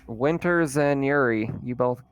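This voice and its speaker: A man running a tabletop roleplaying game as game master, narrating in a conversational, halting way. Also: mid-range voice, clean tone. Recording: music playing behind the voice. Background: background music.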